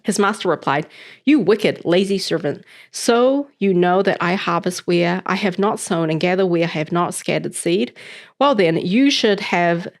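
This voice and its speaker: Chatty New Zealand woman, lowish voice. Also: a little slow. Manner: chatty and upbeat, with loose fillers. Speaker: host reading scripture. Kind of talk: casual chat, then scripture reading aloud.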